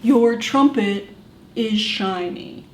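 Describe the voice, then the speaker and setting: Woman as a very slow, tactful storybook elephant. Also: mid-range voice, pitched below the performer's own voice. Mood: hesitant. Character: gentle, hesitant, tactful elephant friend of a pig. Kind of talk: picture-book read-aloud. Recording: echoey room with faint background noise.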